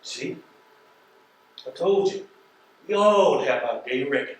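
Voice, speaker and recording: Stage drama, American male actor: wry, philosophical postal worker, lively intonation, very echoey and distant. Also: medium pitch, measured pace, close to an everyday voice. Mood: admonishing. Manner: folksy, colloquial, wry. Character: wry, unhurried male mail carrier, streetwise and philosophical. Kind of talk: stage play acting. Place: theatre stage, recorded from afar. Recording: echoey stage, distant mic, faint noise.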